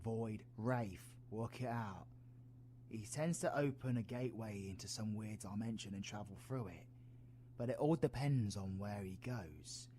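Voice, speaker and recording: A British man playing a roguish, protective, gruff yet tender supervillain in an audio roleplay, husky and colloquial. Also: fairly low pitch, a little slow, mellow, a little hiss or hum, lightly acted. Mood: irritated, sardonic. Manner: sweary, teasing, colloquial. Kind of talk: audio roleplay, villain ASMR.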